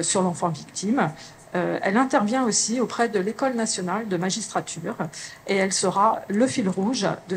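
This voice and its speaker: A woman's voice: mid-range voice, slight background noise. A woman moderator giving a formal introduction of a speaker, reading steadily with occasional hesitations.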